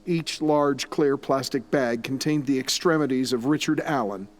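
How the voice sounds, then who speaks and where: A man's voice: medium pitch, normal speed. A man reading scripted narration for an audio drama, as its narrator.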